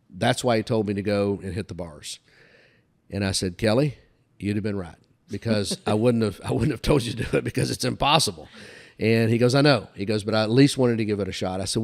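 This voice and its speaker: A man's voice: fairly low pitch, darkish tone. An older man telling anecdotes in a casual, folksy way as a guest, often recounting conversations. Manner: folksy, recounts dialogue back and forth. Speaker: podcast guest telling career stories.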